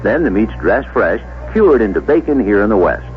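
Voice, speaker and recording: An American man delivers a scripted radio ad in a folksy, emphatic seventies salesman style. His pitch swings in a lively way. The sound is a dull old broadcast with faint music behind him. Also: moderate pace. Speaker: commercial spokesman. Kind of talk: 1970s radio advertisement read, scripted.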